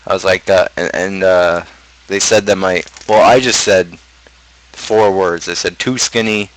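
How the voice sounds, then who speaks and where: A man's voice: low voice, fairly even intonation, measured pace, poor audio. A young adult man chatting casually on a livestream, rambling and opinionated as he rants. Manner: opinionated, ranting. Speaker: livestream host.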